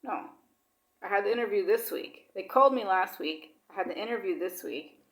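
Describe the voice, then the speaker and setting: A woman's voice: medium pitch, brisk. Woman, casual vlog talk, rambling, roomy echo. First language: English. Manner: chatty, rambling, with many fillers; mixes in Swedish words. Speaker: vlogger. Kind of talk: casual personal chat.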